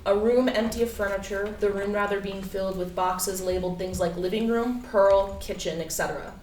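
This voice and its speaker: A woman's voice: medium pitch, fairly even intonation, brisk, darkish tone. A woman reading stage directions as scripted narration at a staged play reading. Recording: distant, echoey room, faint noise.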